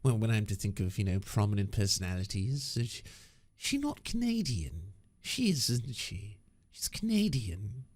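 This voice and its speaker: A man's voice: on the low side, big pitch swings, moderate pace, a little mellow, husky. A man hosting a sarcastic reaction commentary. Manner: sarcastic, mocking. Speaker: commentary host.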